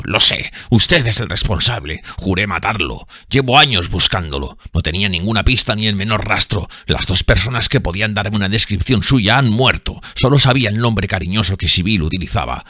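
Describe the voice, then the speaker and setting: A man's voice: fairly low pitch, expressive, fairly quick, few pauses, bright, forceful tone, dry recording, studio quality, voice pushed up. A man voicing an enraged sailor hunting his sister's seducer, speaking in a solemn, threatening way. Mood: vengeful. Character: enraged male sailor, vengeful, grim.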